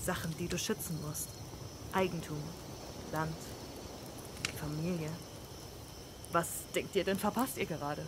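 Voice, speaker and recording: A woman's voice: lowish voice, fairly even intonation, a little slow, long pauses, background noise, close to an everyday voice. A woman voicing a blunt, free-spirited young drifter in a video game fan dub, casual, coarse and sarcastic. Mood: defiant. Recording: night crickets and outdoor ambience behind.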